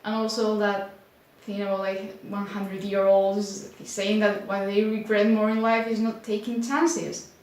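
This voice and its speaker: A young woman from Spain speaking English with a Spanish accent, chatting casually to camera in an unhurried way, full of fillers like "like". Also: medium pitch, little pitch movement, a little mellow. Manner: unhurried, many filler likes, slightly unsure. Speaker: booktuber. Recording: very echoey room.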